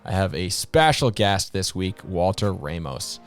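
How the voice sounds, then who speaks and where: Low-voiced casual male podcast host. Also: sing-song, unhurried, faint music behind. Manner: informal, says dude and like. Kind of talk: casual interview.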